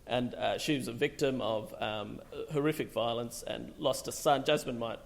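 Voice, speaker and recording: A middle-aged man from Bangladesh speaks in a mid-range voice with a gravelly, fry-laden edge. He talks to an audience in a loose, conversational way and often stops to restart with ums and uhs. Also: medium pitch, fairly even intonation, moderate pace. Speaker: psychiatrist giving a talk. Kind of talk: public talk.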